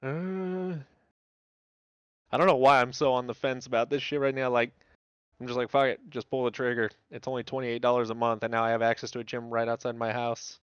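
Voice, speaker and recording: A man's voice: medium pitch, flat delivery, fairly quick, a bit bright, clear, resonant tone, no room sound, dull audio. A man chatting casually about games in a laid-back, slangy way, swearing often as he explains things. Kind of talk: casual gaming chat.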